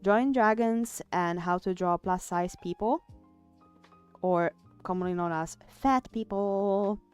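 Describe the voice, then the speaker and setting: A woman's voice: medium pitch, takes it slowly, clear, resonant tone, faint music behind. A woman with an Italian accent, chatting casually on a live art stream, reading chat aloud and trailing off with fillers. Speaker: artist streamer.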